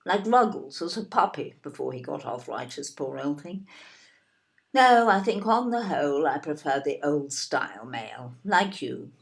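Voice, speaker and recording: An imperious, snobbish older British woman in an audio drama. Her low-ish voice swoops widely as she fusses and disparages in genteel phrases. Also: sing-song, normal speed, vocal fry, exaggerated voice. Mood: disdainful. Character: imperious, snobbish, self-absorbed older wife and mother. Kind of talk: audio play acting.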